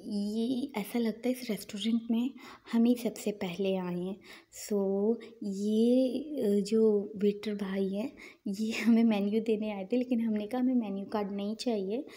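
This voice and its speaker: A young woman narrating her day in a chatty, unhurried voice-over, with small fillers and pious asides. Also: medium pitch, fairly even intonation, mellow. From Pakistan. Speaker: vlogger.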